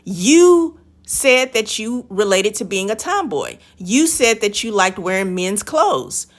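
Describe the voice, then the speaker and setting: A woman's voice: medium pitch, sing-song, slow. Woman giving emphatic commentary. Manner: emphatic, lecturing, addresses the guest directly. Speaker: reaction video host. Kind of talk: commentary on a clip.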